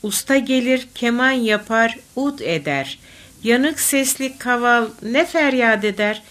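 Slow, expressive woman reciting poetry. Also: fairly high pitch. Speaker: poetry reader.

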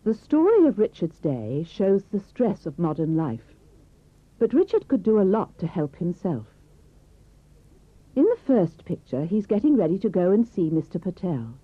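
A woman's voice: fairly low pitch, very animated, normal speed, slightly rough, no room sound. Woman reading a textbook story. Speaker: narrator. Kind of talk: scripted textbook narration. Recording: dry, tinny tape.

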